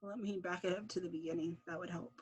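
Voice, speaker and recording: A woman's voice: lowish voice, steady tone, quick pace, darkish tone, husky, poor audio. A woman hosting with casual chat as she introduces an episode.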